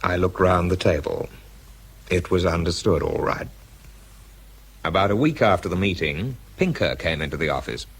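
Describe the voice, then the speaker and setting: An actor playing a weary, stubborn government scientist in a radio drama, with a British accent and a clipped, understated delivery. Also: male voice, low voice, lively intonation, moderate pace, pauses often, slightly rough, full character voice. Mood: dry, downcast. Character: weary, stubborn, prickly male government scientist narrating his story. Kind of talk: radio drama acting with first-person narration.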